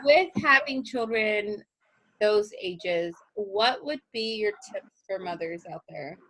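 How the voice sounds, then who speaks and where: A woman hosting a casual interview show, effusive, warmly thanking and praising her guests. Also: medium pitch, lively intonation, slow, rough recording. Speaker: podcast host.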